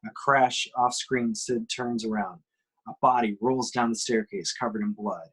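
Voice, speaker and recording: A man's voice: mid-range voice, steady tone, unhurried, a bit bright, husky, rough recording. A man giving emphatic, suspenseful scripted narration as he reads action descriptions at an amateur table read.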